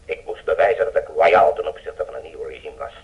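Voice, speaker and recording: An actor playing a bitter, self-justifying defector turned blackmailer in a Dutch radio play, grave and deliberate. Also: male voice, rumbling low, highs cut off. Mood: bitter, defensive.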